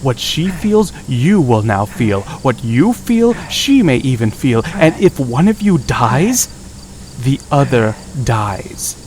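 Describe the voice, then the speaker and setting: Male actor as grave dragon sibling: accusing, measured pace, sweeping intonation, fantasy audio drama. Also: medium pitch, big pitch swings, darkish tone, a little hiss or hum, poor audio. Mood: grim, condemning. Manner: slow, emphatic, reproachful delivery. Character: stern, accusing dragon brother, grave and condemning.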